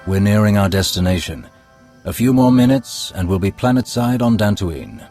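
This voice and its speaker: A lowish, silky male voice, as an actor plays him of a sly Sith schemer, polite and calculating. Loud music plays under his cutscene lines. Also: animated, close to an everyday voice. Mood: suave. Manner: suave, ingratiating, measured. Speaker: Imperial schemer.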